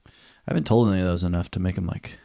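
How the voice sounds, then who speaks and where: Young male podcast co-host, quick low voice, crude casual banter. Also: vocal fry, mellow. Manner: loose, swearing, joking. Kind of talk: casual chat.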